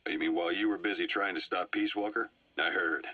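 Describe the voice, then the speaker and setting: A dull, echoing cassette tape carries a composed Soviet spy from a video game. His husky voice recounts history slyly and deliberately, pausing for drama. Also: deep voice, fairly even intonation. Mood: grave. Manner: measured, deliberate, with dramatic pauses. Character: sly, composed Soviet spy gunslinger, a measured storyteller. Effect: cassette tape filter.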